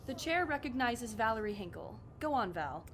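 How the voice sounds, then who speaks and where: A woman acts as an officious school superintendent in an audio drama. Her high, lively voice chairs with formal briskness, over a faint low noise.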